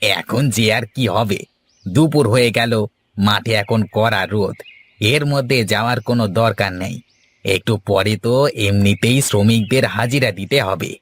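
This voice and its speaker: A man's voice: fairly low pitch, moderate pace, close to an everyday voice. A man voicing a landlord's field overseer in a cartoon folk tale. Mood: deferential. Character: landlord's field overseer, deferential upward, bossy downward.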